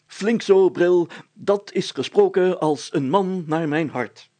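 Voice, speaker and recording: A man's voice: high voice, slow pace, mellow. A man voicing a pompous, bossy village mayor in a storybook, grandly declaiming like a self-styled hero. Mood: grandly heroic. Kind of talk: storybook character voice.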